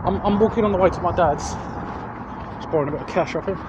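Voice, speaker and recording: A man's voice: sits high, quick pace. A man with a southeast English accent chatting casually in a rambling, hesitant way as he hosts a vlog on foot. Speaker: vlog host. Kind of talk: casual chat to camera while walking. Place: outdoors walking along roads. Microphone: handheld external microphone. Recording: muffled outdoor street audio, loud traffic noise.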